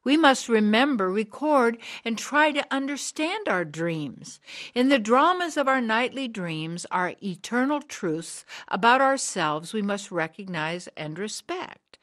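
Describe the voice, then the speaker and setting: A woman playing a driven, earnest sixty-year-old sage in a radio drama, speaking in deliberate, solemn oratory. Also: a little high, lively intonation, a bit bright. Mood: solemn, urgent. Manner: declamatory, preaching, deliberate.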